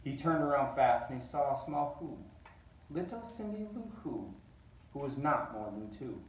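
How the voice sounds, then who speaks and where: A man reading a picture book aloud, animated and rising on repeated words. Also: medium pitch, normal speed. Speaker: reader. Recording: roomy echo, distant mic.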